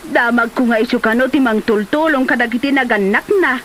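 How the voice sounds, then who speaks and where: A woman's voice: fairly high pitch, brisk, lightly acted. An actress playing a chatty, nosy village woman in an Ilocano comedy drama, recorded on noisy location. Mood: gossipy.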